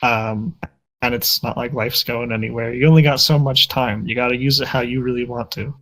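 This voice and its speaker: A man's voice: mid-range voice, fairly even intonation, fairly quick, fairly bright tone, rough recording. A young man answering interview questions in a casual, reflective and self-deprecating way. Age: young adult. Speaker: interview guest.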